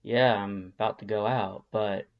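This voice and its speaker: A man's voice: fairly low pitch, steady tone, measured pace, lo-fi sound. A man voicing a laid-back, sardonic male skeleton in an audio drama, with casual, dry, slangy speech. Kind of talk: fan fiction audio drama acting.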